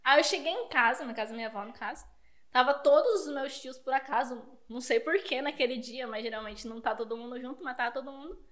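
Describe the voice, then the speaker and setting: Woman, high voice, casual podcast guest, storytelling, laughs often. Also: fast talker, fairly bright tone. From Paraguay border region.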